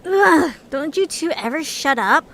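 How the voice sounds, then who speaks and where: A woman's voice: high voice, expressive, measured pace, a bit bright, full character voice. A woman voicing a bossy, sarcastic, short-tempered female creature in an audio story, with a snappy manner. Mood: irritated, sarcastic.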